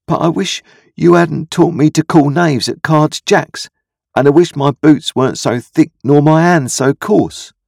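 An anxious, humble country boy acted by a man reading a storybook, confessing earnestly and miserably. The voice is lifted above the reader's own, a little husky, and very close and dry. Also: on the high side, a little slow, a little mellow, no room sound, pitched above the performer's own voice. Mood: ashamed, humble.

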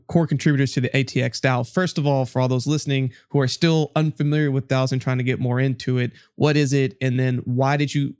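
A man's voice: medium pitch, darkish tone, clear, resonant tone, no room sound, clean studio sound. A man hosting a podcast, talking in an upbeat conversational way, interviewing a guest and reading promos. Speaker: podcast host. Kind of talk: casual interview and read ad.

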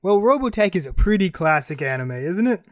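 Male radio host, higher-pitched voice, dry close sound, casual chat introducing songs. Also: very animated, fairly quick, no echo. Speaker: host of an anime radio show.

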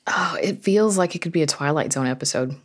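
Woman with a lowish voice just chatting, telling stories as a guest on a podcast, real informal. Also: fairly quick. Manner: chatty, informal storytelling with quoted asides. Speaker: podcast guest, horror fiction writer and audio drama creator. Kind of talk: casual conversational interview.

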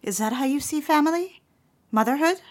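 An actress playing a sincere, quietly stubborn young secretary in an audio drama. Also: female voice, sits high, animated, fairly quick. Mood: defensive. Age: young adult. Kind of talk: audio drama acting and narration.

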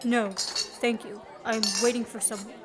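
Woman as male fugitive, impatient, brisk, fairly high voice, amateur radio play. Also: level tone, crowd noise, noisy background, natural voice. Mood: brisk, guarded. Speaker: student actor.

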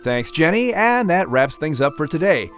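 A man's voice: fairly high pitch, sing-song, moderate pace, a bit bright, soft background music. A man hosting a children's podcast as its announcer, reading scripted links and credits.

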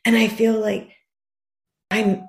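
A woman's voice: fairly high pitch, takes it slowly, creaky, echoey room, slight background noise. Chatty woman in her fifties. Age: 50s. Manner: rambling, self-deprecating, animated when quoting herself. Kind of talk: casual chat.

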